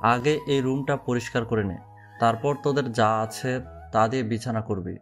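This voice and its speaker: A man's voice: medium pitch, moderate pace, faint music behind, natural voice. Villain uncle for an audio drama: middle-aged, greedy and domineering, curt orders in a level mid-range male voice, as a man acts him. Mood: bossy. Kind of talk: Bengali audio drama acting.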